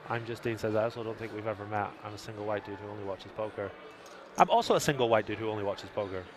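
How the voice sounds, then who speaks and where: A man's voice: on the low side, background noise. A man with a soothing radio voice calls a live poker stream from a casino booth. He reads out the chat and keeps the one-liners coming between hands. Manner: jokey, quick one-liners.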